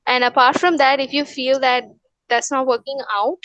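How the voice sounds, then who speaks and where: A woman from India, a wellness counsellor, calmly giving advice in a webinar, with many fillers and restarts. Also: high voice, normal speed, muffled recording. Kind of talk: webinar Q&A answers.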